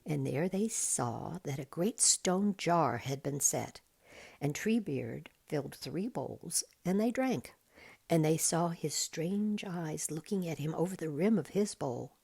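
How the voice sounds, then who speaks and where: A woman reading a bedtime story aloud in a measured, deliberate way. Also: sits low, animated, vocal fry, darkish tone, breathy edge. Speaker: volunteer storybook reader.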